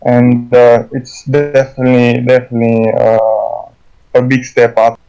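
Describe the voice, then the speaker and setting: A man with a Romanian accent speaks at a middling pitch as a guest in a casual conversation. He halts often, stuttering and restarting as he searches for the words. His first language is Romanian. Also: level tone, creaky, dark tone, clear, resonant tone, lo-fi sound, faint music behind. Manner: halting, stuttering with many restarts. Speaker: guest artist.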